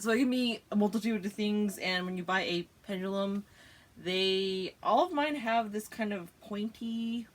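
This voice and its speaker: A woman hosting a casual chat, explaining things in a giggly, chatty way with plenty of fillers. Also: mid-range voice. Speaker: podcast host.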